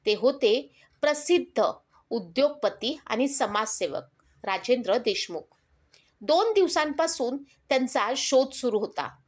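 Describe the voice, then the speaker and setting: A woman storyteller reading scripted narration of an emotional moral story in a measured, earnest manner. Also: fairly high pitch, lively intonation.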